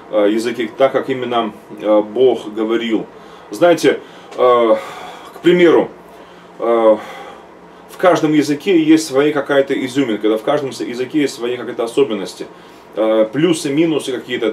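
Halting middle-aged man teaching, distant mic. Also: mid-range voice, a little mellow. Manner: hesitant, many drawn-out "uh" fillers. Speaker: archbishop. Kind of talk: teaching seminar. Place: small room at home. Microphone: webcam at desk. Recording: distant mic, faint room noise.

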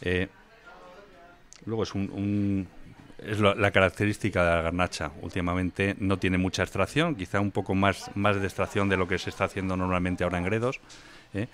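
A man's voice: sits low, steady tone, normal speed, fairly bright tone. A man as a wine expert guest in a casual interview, knowledgeable and rambling as he describes a wine. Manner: knowledgeable, rambling, many fillers and restarts. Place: wine venue indoors.

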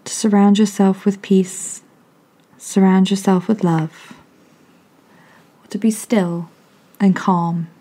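A woman softly and gently guiding a meditation in a calming, breathy voice with long pauses. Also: medium pitch. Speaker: meditation guide. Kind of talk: guided meditation, soothing scripted narration.

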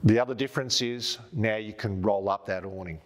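A middle-aged Australian man with a lowish voice presents a product in an informal, chatty way. He talks as if showing someone around, often checking in as he goes. The sound rings with the long reverb of a big indoor showroom. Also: fairly quick. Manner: informal, conversational, checking in with "right?". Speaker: presenter of outdoor gear product videos. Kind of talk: casual product walkthrough. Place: large hard-walled showroom, indoors.

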